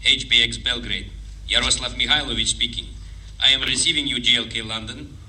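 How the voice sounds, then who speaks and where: A man playing a formal, deliberate radio contact in a sitcom, heard over a radio link. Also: mid-range voice, steady tone, far from the mic, noisy background. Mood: formal greeting. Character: formal, comradely Eastern European male radio contact. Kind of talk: broadcast sitcom acting.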